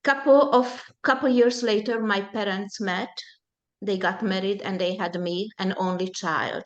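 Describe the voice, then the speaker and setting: A woman in her seventies, Hungarian by first language, speaks at an unhurried pace. She recounts a personal testimony in a measured, deliberate way. Her voice sits at a middle pitch. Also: fairly even intonation, muffled recording. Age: 70s. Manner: slow, deliberate storytelling. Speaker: presenter at a support group.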